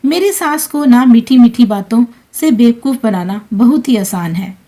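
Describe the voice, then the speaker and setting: A woman's voice: fairly high pitch, expressive, normal speed, mellow. A woman voicing a self-centred working daughter-in-law in a family story, breezy and entitled in manner. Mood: smug.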